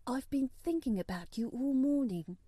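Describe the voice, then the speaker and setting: A woman's voice: high-pitched, animated, normal speed, warm tone, dry recording. Woman voicing an imperious, spoiled little rich boy. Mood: curious, lordly. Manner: commanding, grand. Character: imperious, spoiled, sickly young boy of wealth.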